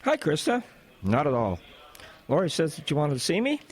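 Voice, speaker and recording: A man playing a practical, easygoing boss of delivery drivers in an audio drama, talking in a measured, matter-of-fact way. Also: a little high, huge pitch swings, exaggerated voice. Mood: friendly. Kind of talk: audio drama acting, naturalistic dialogue. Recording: clear vehicle and outdoor noise behind.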